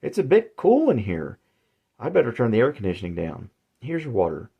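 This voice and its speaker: A man's voice: mid-range voice, wildly swooping pitch, fairly quick, vocal fry, breathy edge, narrow, tinny audio, full character voice. A man voicing a weary, frustrated suburban housewife who talks in a chatty, exasperated way. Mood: friendly.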